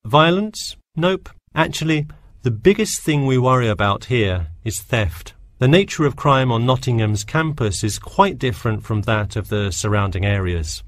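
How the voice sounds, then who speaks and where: A man acts as an experienced police officer delivering a public safety talk. He speaks in a measured, instructive way at a medium male pitch, like a prepared lecture for a listening exercise. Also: expressive, natural voice. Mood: informative, reassuring.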